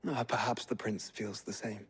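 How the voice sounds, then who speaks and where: A man acting a proud, troubled nobleman in a fantasy video game, with a husky, breathy voice and formal phrasing. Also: fairly low pitch, level tone, moderate pace. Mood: apologetic, weary.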